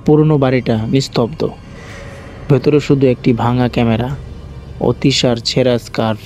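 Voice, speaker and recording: A man's voice: medium pitch, little pitch movement, normal speed, a little mellow. A man narrating a scripted first-person horror story as the channel's host. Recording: faint music bed under narration. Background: faint music.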